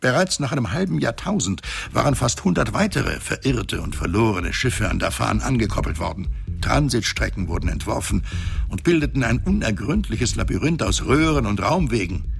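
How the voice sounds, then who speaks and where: An actor playing the genial, ancient mind of a space station, telling its history wryly in a husky, gravelly voice. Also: male voice, medium pitch, very animated, normal speed. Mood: expository. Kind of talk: storytelling narration in science fiction radio play.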